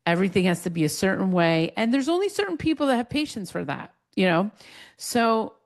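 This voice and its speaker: A woman hosting a casual interview, telling stories quickly and with lively energy, with frequent "like" fillers. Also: mid-range voice. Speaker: podcast host.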